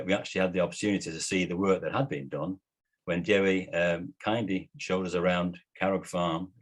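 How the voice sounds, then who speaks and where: A man hosts a local group meeting and introduces a guest speaker. His low voice is husky. He reads in a measured way with a few fillers. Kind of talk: reading an introduction.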